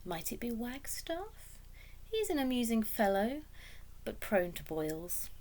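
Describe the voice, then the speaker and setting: A woman's voice: fairly high pitch, big pitch swings, takes it slowly, darkish tone, slight background noise, pitched above the performer's own voice. Woman voicing a cold, scheming courtly lord. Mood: sneering, insinuating. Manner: courtly, insinuating, probing. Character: cold, scheming nobleman, smoothly polite and menacing.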